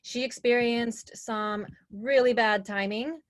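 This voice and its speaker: A woman's voice: on the high side, fairly even intonation, takes it slowly. A woman hosting a live online workshop over a video call, reading guest introductions and viewer comments in an upbeat way. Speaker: host.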